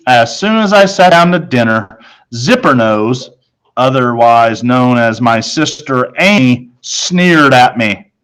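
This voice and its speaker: Slow, deliberate man reading a children's story. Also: medium pitch, expressive, fairly bright tone, clear, resonant tone, poor audio. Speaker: storybook narrator. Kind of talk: scripted children's story narration, first person.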